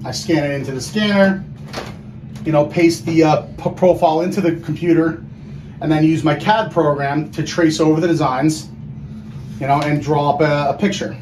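A man's voice: on the high side, steady tone, moderate pace, a little mellow. A plain-spoken man explaining things informally as he gives a casual walking tour of a woodworking mill. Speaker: mill worker giving a tour. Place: large woodworking mill and lumber warehouse. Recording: noisy workshop with machinery hum.

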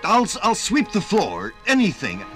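Animated 1950s radio working man. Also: high-pitched, a little slow, soft background music, full character voice. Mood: desperate, pleading. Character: working man, by turns resolute and desperate. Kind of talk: 1950s radio drama acting.

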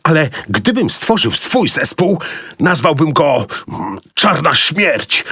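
A man's voice: a little high, very animated, slow pace, sharp tone, breathy edge, no echo, heavily acted. A young-sounding man playing a grumbling, pessimistic schoolboy in a children's audio drama.

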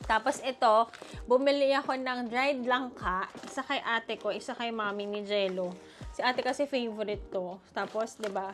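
Chatty woman travel vlogger over music. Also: a little high. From Philippines. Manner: chatty, animated, mixing Tagalog and English. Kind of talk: casual vlog chat with budget talk.

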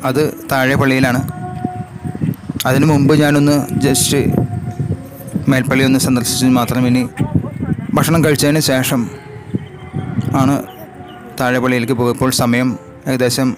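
A man with a Malayali accent narrating a travel vlog slowly and in measured, short separated phrases. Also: mid-range voice, little pitch movement, poor audio. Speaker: vlogger. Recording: loud music over the voice. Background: loud music.

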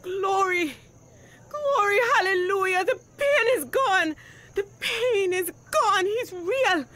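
A woman's voice: extremely high pitch, lively intonation, slow pace, pushed and bright, full character voice. Pleading, fervent little old woman. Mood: ecstatic. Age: older. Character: battered, pleading little old woman, long-lost mother. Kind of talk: emotional radio drama acting.

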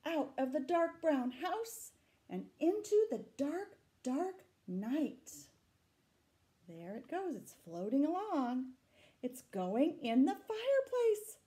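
Expressive, high-voiced middle-aged woman reading aloud. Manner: dramatic, deliberate, asks questions of young listeners. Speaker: library storytime reader. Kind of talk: children's picture book read-aloud with asides. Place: small room indoors. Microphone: camera a short distance away.

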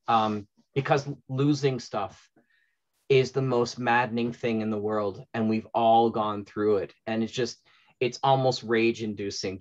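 A middle-aged man talking informally as the host of a panel. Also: mid-range voice. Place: indoor room at home, on a video call. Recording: dull lo-fi video call audio.

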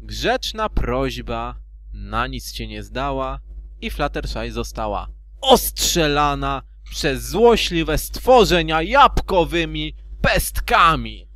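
A man's voice: medium pitch, big pitch swings, takes it slowly, fairly bright tone, dry recording. A man narrating a storybook in an amateur fan dub, theatrically and with loose asides.

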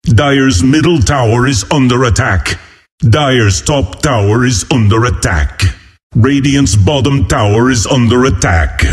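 A man's voice: mid-range voice, lightly acted. An actor playing a burly, boisterous walrus-man warrior as a video game announcer, with hearty, declamatory delivery.

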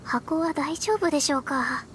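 A woman's voice: sits high, moderate pace, noisy background, natural voice. A woman voicing a proud young astrologer mage in an anime game, speaking politely and precisely with a slightly haughty air. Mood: exasperated. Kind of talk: Japanese anime game voice acting. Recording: game music behind the voice.

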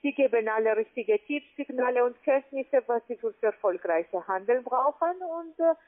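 High-voiced non-native woman on a phone line. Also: animated, normal speed. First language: not German. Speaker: telephone sales agent. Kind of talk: sales pitch on a cold call. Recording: tinny, narrow phone line.

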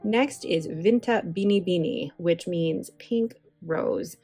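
A woman talks through a casual voice-over with chatty enthusiasm and rambling asides. Her voice sits fairly low. Soft music plays faintly behind her. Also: slow pace, husky. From Filipino heritage. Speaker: stationery channel host. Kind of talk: casual voiceover while swatching inks. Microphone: voice-over recorded separately.

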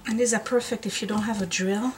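Casual home vlogger, woman, medium pitch, chatty and unscripted, faint hiss on the camera mic. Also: fairly even intonation, fast. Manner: chatty, filler-heavy, thinking aloud while working. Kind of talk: casual show-and-tell narration. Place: basement room at home, indoors.

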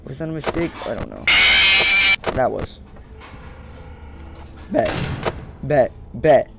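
A man's voice: mid-range voice, very animated, slow, gravelly, very noisy. A young man giving casual gameplay commentary, rambling and muttering as he talks to the game's characters. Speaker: gaming streamer. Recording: loud game music behind voice.

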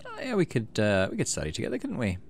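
A man narrating a game playthrough casually, reading text aloud and thinking out loud in an easygoing way. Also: medium pitch, wildly swooping pitch, quick pace, slight background noise. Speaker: gaming video host.

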